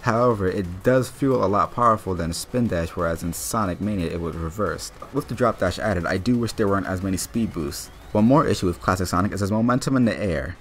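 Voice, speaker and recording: A man with a notably low voice delivers scripted game review narration at a fast clip. He works through his points methodically, like a channel host explaining each feature in turn. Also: a little hiss or hum.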